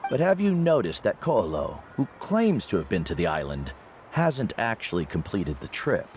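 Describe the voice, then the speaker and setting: A calm, wise old guide mentor. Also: male voice, lowish voice, slight background noise. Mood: steady. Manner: measured, reflective. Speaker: veteran guide and teacher. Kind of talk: game dialogue. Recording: game audio with faint music.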